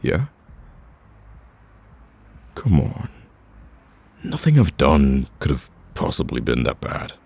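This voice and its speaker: Man playing a very deep gravelly flirtatious villain. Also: big pitch swings, slow, pauses often, darkish tone, full character voice. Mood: hopeful flirting. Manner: drawling, teasing, casual and conversational. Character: smug flirtatious male villain wooing the listener, cocky and teasing. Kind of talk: ASMR roleplay acting.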